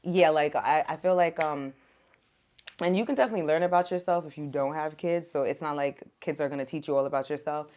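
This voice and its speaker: A woman's voice: sits low, brisk. A young woman, born in Ethiopia and raised in America, talking casually as a podcast guest about being a mother, with lots of "like" and "um". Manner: relaxed, many fillers like "like" and "um". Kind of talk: casual conversation.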